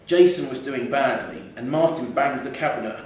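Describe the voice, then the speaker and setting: Male narrator, wry and measured, reading live fiction, heavily echoing room, mic at a distance. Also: mid-range voice, a little mellow. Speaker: short story reader. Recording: echoey theatre hall, distant mic, faint noise.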